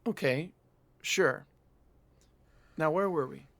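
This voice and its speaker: A man's voice: a little high, slow pace, gravelly, a bit bright, dry recording, full character voice. A young man acting as a stubborn game master in a naturalistic audio drama. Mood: uneasy, insistent.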